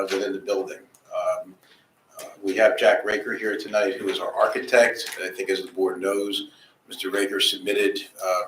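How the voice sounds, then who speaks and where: A man speaking as an attorney before a planning board, formal and dotted with hesitations, recorded in a town hall room. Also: lowish voice. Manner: formal, many uh fillers. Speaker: attorney for an applicant. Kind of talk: formal testimony. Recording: echoey room, distant mic, faint noise.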